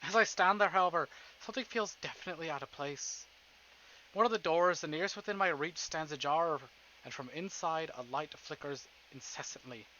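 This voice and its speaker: A man's voice: a little high, moderate pace, pushed and bright, highs cut off, a little hiss or hum. A man streaming a visual novel, reading it aloud theatrically with playful, casual asides.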